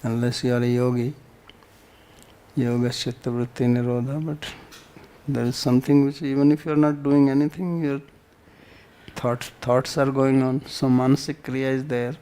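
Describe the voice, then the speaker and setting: A man's voice: medium pitch, little pitch movement, moderate pace, dark tone, clean tone. A man with an Indian accent teaching a scripture class, reciting Sanskrit and explaining it in short phrases.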